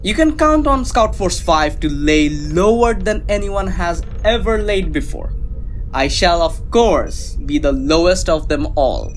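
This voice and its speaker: A man's voice: sits high, expressive, measured pace, faint music behind, rough recording. A man playing a pompous, overconfident starship captain in a sci-fi comedy audio drama. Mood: self-important. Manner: pompous, self-important.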